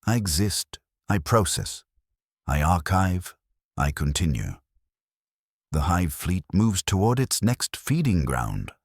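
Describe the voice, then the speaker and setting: An extremely low-voiced man narrates dark science fiction in the first person. His delivery is solemn and deliberate, unhurried, yet it rises and falls noticeably from phrase to phrase. The recording is dry and close. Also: very animated, husky, no room sound. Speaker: story narrator. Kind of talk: scripted first-person sci-fi horror narration.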